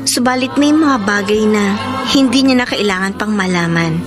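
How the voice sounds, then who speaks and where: A woman's voice: medium pitch, normal speed, music in the background, close to an everyday voice. An actress with a Filipino accent playing a frank, devoted young woman student in a radio drama. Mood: earnest. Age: young adult.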